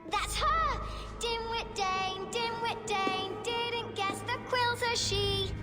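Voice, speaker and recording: A woman's voice: very high voice, slow, over loud music. An actress playing a cheeky, defiant young street urchin girl in a video game, with a brash, taunting, childlike voice. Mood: mocking. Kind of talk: video game cutscene acting. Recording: noisy game ambience.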